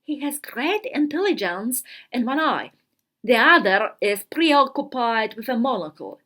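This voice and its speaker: A woman voicing a self-important, earnest fourteen-year-old girl diarist, reading dramatically with deliberate pauses and emphasis. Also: on the high side, lively intonation, bright, forceful tone, heavily acted. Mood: proud, secretive. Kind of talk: dramatic literary reading of a diary.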